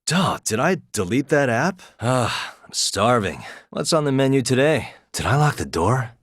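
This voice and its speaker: A man's voice: medium pitch, very animated, moderate pace, no echo, clean studio sound. An American man playing a wry, streetwise private detective in a video game, speaking casually and sardonically in short lines and asides. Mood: casual, weary. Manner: casual, sardonic muttering with frequent sighs.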